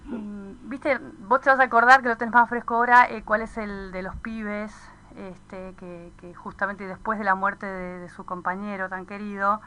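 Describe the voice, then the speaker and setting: A woman with an Argentine accent talks casually on the radio in a bright, forceful voice. She gropes toward her point and often turns it into a question. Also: mid-range voice, steady tone, moderate pace, hardly any pauses, smooth, low-quality recording. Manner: searching, questioning, seeks agreement.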